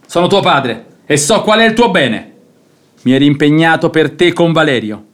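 A man's voice: on the high side, animated, normal speed, a bit bright. A man playing a stubborn, domineering, pious middle-aged nobleman father in a theatrical radio comedy, blustering and exasperated. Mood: impatient, commanding.

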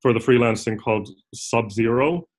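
Man, halting and rambling. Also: mid-range voice. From Finland. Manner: rambling, halting, often restarting words. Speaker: guest, design consultant. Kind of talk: casual interview talk.

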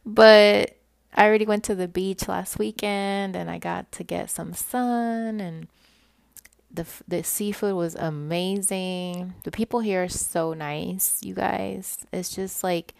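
A woman's voice: mid-range voice, steady tone, takes it slowly, gravelly, dry recording. A woman with a Puerto Rican accent in her thirties, candidly chatting through a personal podcast monologue and laughing often. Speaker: podcast host.

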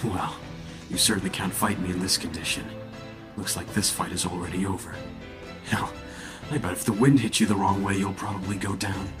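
A man's voice: low-pitched, steady tone, brisk, over music, close to an everyday voice. A man playing a menacing rival vampire in an audio roleplay, smug, mocking and theatrical as he taunts his prey.

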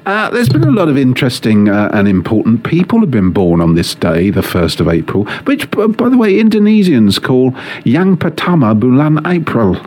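Male radio host, casual chat, hesitant restarts, animated pitch. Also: normal speed, hardly any pauses. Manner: chatty, hesitant, repeats words and laughs often.

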